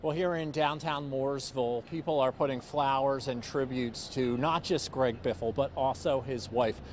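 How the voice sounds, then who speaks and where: A man's voice: mid-range voice, steady tone, normal speed, a little hiss or hum. An American man narrating a scripted TV news report as a reporter.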